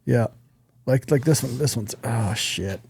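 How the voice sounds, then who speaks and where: A man's voice: mid-range voice, measured pace, creaky, a little mellow, slight background noise. A man co-hosting a podcast, chatting casually in an informal, rambling way. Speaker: podcast co-host.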